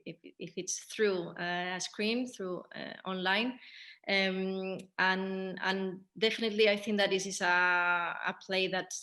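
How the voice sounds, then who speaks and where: Bright-voiced Spanish-accented woman, fairly even pitch. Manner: hesitant, thinking aloud. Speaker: theatre director. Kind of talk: post-show panel discussion.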